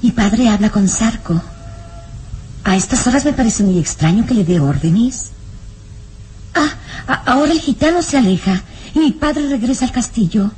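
An actress playing a fretful young noblewoman in a melodramatic radio serial, talking to herself with troubled, halting sighs. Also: a little high, lively intonation, darkish tone, a little hiss or hum, close to an everyday voice, low-quality recording. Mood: worried, puzzled. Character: anxious young noblewoman, sheltered, fretful daughter of a baron.

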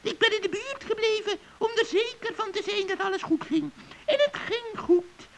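Nasty old witch lady, super shrill n croaky, fake sweet, cackly, kids radio play. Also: lively intonation, a little hiss or hum, heavily acted. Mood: gloating. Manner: mock-friendly, taunting, drawn-out phrasing. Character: gloating, spiteful old witch in a forest tale. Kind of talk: children's radio play acting.

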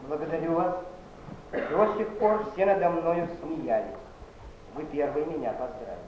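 A male actor plays a wry, bitter courtier in a mid-pitched theatrical voice. The stage recording is muffled, with clear noise. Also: moderate pace, a little mellow, roomy sound, natural voice.

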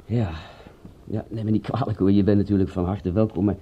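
A man's voice: lowish voice, flat delivery, brisk, husky. A man playing a shifty, flustered small-time private detective in a radio play, talking in a hesitant, fussy way and repeating himself. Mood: flustered, apologetic. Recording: muffled old radio-play recording.

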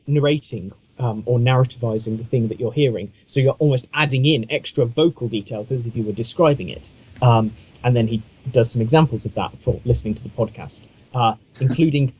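A man's voice: medium pitch, a little fast, darkish tone, breathy edge, dull audio. A man chatting casually as a podcast co-host, with a wry, self-mocking and discursive way of talking.

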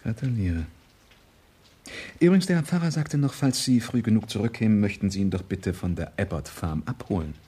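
An actor in a German radio play, playing a suave, flirtatious bachelor who talks with mocking politeness. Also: male voice, on the low side, animated, fairly quick, mellow, close to an everyday voice. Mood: sardonic. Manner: smooth politeness with mocking edge. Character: suave, sardonic bachelor charmer, flirtatious and probing.

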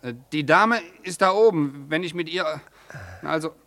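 A man's voice: a little high, normal speed, fairly bright tone. Impatient, snappish young man. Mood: evasive, nervous. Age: young adult. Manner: curt, snappish, exasperated. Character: impatient, irritable young lover hiding his affair. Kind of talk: 1960s German radio play acting.

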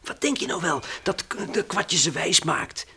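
An actor playing a brave, level-headed space adventurer in a Dutch radio play, hearty, reassuring and gently scolding. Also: male voice, a little high, animated, measured pace, gravelly, slight background noise, heavily acted. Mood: calm, confident.

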